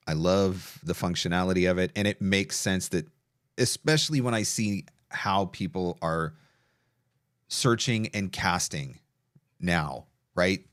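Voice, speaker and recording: A middle-aged man hosting a casual online interview and pitch, talking in an emphatic, enthusiastic, conversational way. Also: a bit bright, no room sound, clean studio sound. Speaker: webinar host.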